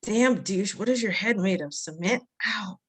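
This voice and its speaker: A woman's voice: medium pitch, moderate pace, husky, lightly acted. A woman plays a hot-tempered, foul-mouthed young woman at a table read. She is blunt and sarcastic, snapping out her words in a mid-range voice. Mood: irritated. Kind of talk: table read acting of a screenplay.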